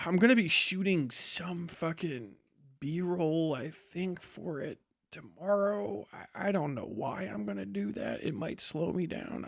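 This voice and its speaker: A man's voice: on the high side, no room sound, studio quality. A man chatting casually on a livestream about his work, rambling and swearing heavily in a sardonic, exasperated way. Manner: heavily profane. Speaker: livestreamer and video creator giving a work update.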